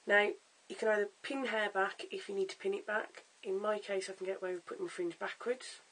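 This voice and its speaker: A hoarse, croaky-voiced vlogger giving a casual at-home wig tutorial in a chatty, stop-start way, filmed on a camera's own mic. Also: medium pitch, little pitch movement, brisk, a bit bright, low-quality recording. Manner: chatty, stop-start with fillers and self-corrections. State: hoarse, lost voice. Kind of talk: casual how-to demonstration.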